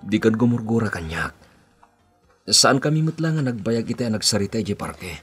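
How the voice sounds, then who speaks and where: A two-timing young Filipino boyfriend in radio melodrama. His voice is on the low side and rough-breathy, always placating and evasive. Faint music plays behind him. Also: very animated, brisk, fairly bright tone, full character voice. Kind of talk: Ilocano radio drama acting.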